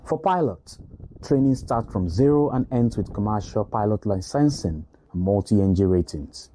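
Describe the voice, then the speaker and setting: A man's voice: on the low side, animated, moderate pace, warm tone. A man with a Nigerian accent narrating a scripted news feature as a reporter, with background noise.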